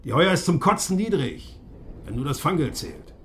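An actor playing a gruff, defiant and proud whaling gunner in a radio drama, coarse-mouthed and swinging between brooding and boasting. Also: male voice, a little high, wildly swooping pitch, moderate pace, a bit bright, slight background noise. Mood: bitter, angry.

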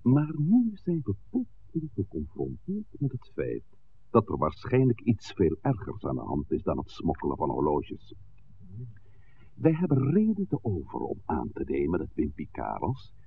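A man acting a calm, authoritative village priest in a 1960s radio play, speaking deliberately and oratorically. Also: rumbling low, vocal fry, exaggerated voice. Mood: measured, firm. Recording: tinny, noisy 1960s radio recording.

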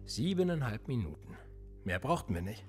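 A man's voice: mid-range voice, moderate pace, breathy edge, soft background music. An actor playing a cool, cynical male police interrogator in a radio drama, smug and needling. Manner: smug, needling, lively intonation.

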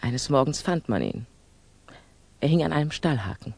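An actress playing a composed, candid older female revolutionary in a 1960s German radio drama, measured, admonishing and probing. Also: very deep voice, animated, gravelly, darkish tone, no echo, full character voice. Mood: earnest, certain.

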